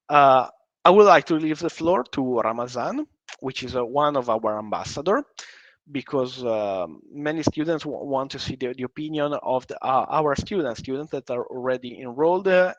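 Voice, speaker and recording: A man's voice: mid-range voice, expressive, moderate pace, dry recording. University representative, male, hesitant delivery; dry, muffled lo-fi sound. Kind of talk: informal live presentation with hesitant, halting delivery.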